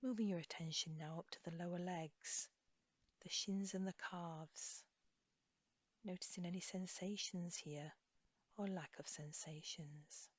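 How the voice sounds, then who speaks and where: A woman leading a guided body scan meditation as an instructor, speaking softly and soothingly in an unhurried way, with phrases trailing off breathily. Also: on the low side, steady tone, husky. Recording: slightly dull, echoey room recording.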